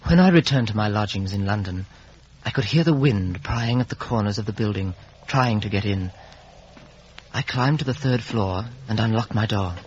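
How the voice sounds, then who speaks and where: An actor playing an uneasy, proud young gentleman, formal and dramatic in a 1950s radio drama. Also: lowish voice, moderate pace, a little hiss or hum, close to an everyday voice. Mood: brooding narration. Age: young adult. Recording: dull vintage radio recording.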